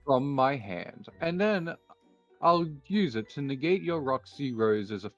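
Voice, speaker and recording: Casting: man, mid-range voice, deliberate and mock-formal duelist musing over moves, music underneath. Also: medium pitch, animated, fairly bright tone, dry recording, lo-fi sound. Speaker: player in a card duel roleplay. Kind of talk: improvised anime card-duel roleplay, calling out moves.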